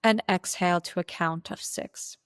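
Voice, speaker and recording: A woman with a slightly low voice guides a meditation. She gives instructions slowly and deliberately, leaving pauses between phrases. The sound is dry, with very little room in it. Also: fairly even intonation, clear, resonant tone, dry recording.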